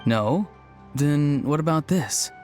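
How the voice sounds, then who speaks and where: A man's voice: a little high, expressive, slow pace, over music. A man playing a friendly, easygoing young villager in a video game, speaking in a mild, musing way.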